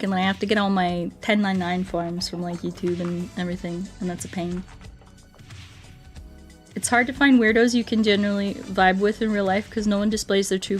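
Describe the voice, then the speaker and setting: Playful woman chatting on a gaming livestream. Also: medium pitch. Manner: playful, rambling, answers viewers aloud. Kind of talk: casual gaming livestream chat.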